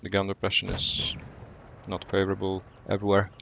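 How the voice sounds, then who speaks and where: A man from Poland with a Polish accent, streaming a game and chatting with viewers in a relaxed way, often pausing to think. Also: low voice, vocal fry, slight background noise. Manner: relaxed, thinking aloud with fillers. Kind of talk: gameplay commentary and chat replies.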